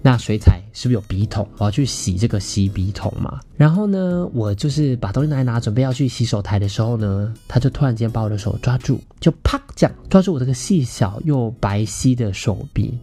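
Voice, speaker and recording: A man's voice: mid-range voice, animated, fast talker, few pauses, dark tone, husky. A man in his thirties with a Taiwanese Mandarin accent, hosting a podcast and telling a personal story in a theatrical, playful way. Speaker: podcast host.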